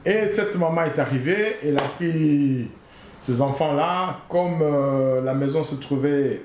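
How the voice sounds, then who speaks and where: A man from Congo, a guest founder of an association, telling a personal story in an interview in an earnest, halting way, repeating his phrases. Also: fairly high pitch, darkish tone. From Congo (DRC). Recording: echoey room, faint noise.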